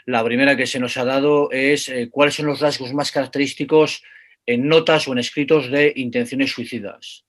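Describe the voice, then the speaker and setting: A man's voice: mid-range voice, fairly even intonation, moderate pace. A man moderating a seminar session, reading audience questions aloud with some hesitations.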